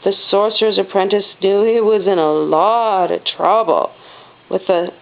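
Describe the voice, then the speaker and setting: A woman reading a children's storybook aloud as a mother does at home, with expressive stress on the dramatic words. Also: medium pitch, unhurried, creaky. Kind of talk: storybook read-aloud narration. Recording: dull, lo-fi home recording.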